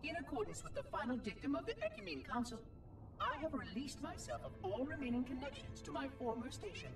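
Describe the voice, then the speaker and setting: A prim, verbose robot monitor from a video game, pitched high with wildly animated intonation. The delivery is formal and exact, like an official record, and it sounds distant with music playing underneath. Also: male voice, brisk, heavily acted. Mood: wistful, solemn. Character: prim, talkative ancient robot monitor guarding an installation.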